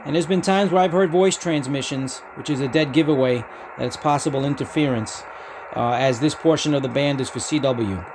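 A man's voice: medium pitch, brisk, background noise. A man hosting a hobby channel, narrating casually to viewers. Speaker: host of a ham radio channel.

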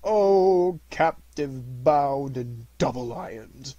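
A man voicing the anguished ghost of a dead miserly businessman in a storybook, lamenting solemnly and slowly, from hushed to loud. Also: mid-range voice, husky, dry recording, highs cut off. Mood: wailing.

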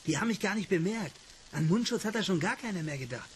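Higher-voiced young genetics intern. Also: male voice, expressive, fast, slight background noise. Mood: fascinated, defensive. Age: young adult. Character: young male genetics intern, curious and stubborn. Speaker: actor in a radio drama. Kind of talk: naturalistic German radio play acting.

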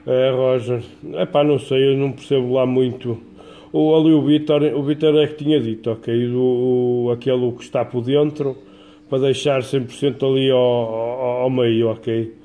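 A man with a European Portuguese accent chatting casually as an amateur radio operator, in a colloquial, halting way with repeated words. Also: medium pitch, level tone, dark tone. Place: indoors at a home radio desk.

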